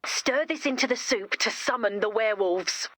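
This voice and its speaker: Casting: female performer for high-pitched, wry, gently bossy young game heroine, romantic and earnest. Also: normal speed, dry recording, lightly acted. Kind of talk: video game voice lines.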